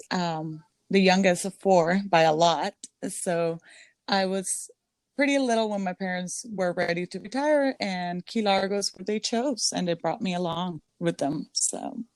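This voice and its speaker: A woman's voice: lowish voice, measured pace, a little mellow, no room sound. Chatty young woman from Costa Rica. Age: 20s. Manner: chatty, many ums and restarts. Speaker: guest, salon owner. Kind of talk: casual interview.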